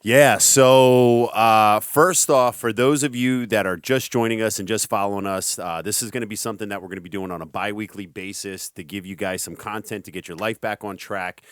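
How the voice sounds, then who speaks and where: A middle-aged man hosting a podcast, talking casually in an energetic motivational way. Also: on the low side, moderate pace, hardly any pauses, dry recording, clean studio sound. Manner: energetic, hype-style motivational. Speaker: podcast host.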